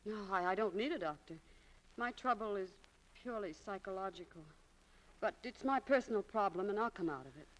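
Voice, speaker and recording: An American actress playing a proud, romantic, high-strung young shopgirl in a 1940s radio drama, with a dramatic, lofty manner. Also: expressive, fairly quick, lightly acted, rough recording. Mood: despondent.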